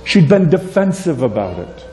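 A man in his forties preaching a sermon in a church, animated and gesturing, moving between loud and soft. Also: on the high side, moderate pace. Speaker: pastor. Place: church sanctuary, indoors. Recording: echoey church room, faint hum.

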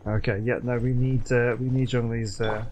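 A man's voice: medium pitch, little pitch movement, normal speed. A man giving casual gameplay commentary, hesitant and talking half to himself. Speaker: gaming YouTuber. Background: game music.

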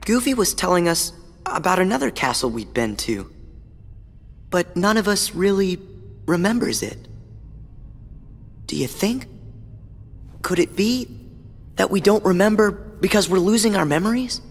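A sincere teenage boy hero in a video game. The youthful voice is expressive and unhurried, set in a long reverb with music behind. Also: high-pitched, gravelly, breathy edge, full character voice. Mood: troubled. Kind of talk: video game cutscene voice acting.

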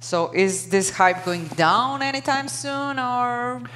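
A woman's voice: a little high, lively intonation, slow pace, fairly bright tone, a little hiss or hum. Woman moderating a conference panel. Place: conference stage before an audience.

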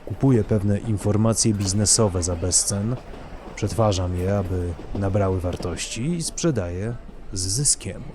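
A courtly gentleman traveler acted by a man in an audio drama, low-pitched and measured. He is a formal, boastful charmer, heard over clear vehicle noise. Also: close to an everyday voice. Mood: polite, reserved. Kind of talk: Polish audio drama dialogue.

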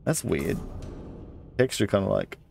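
A man's voice: sits low, wildly swooping pitch, a little slow, vocal fry, darkish tone, faint music behind. A man giving casual let's play commentary, muttering his thoughts aloud and reading out item text.

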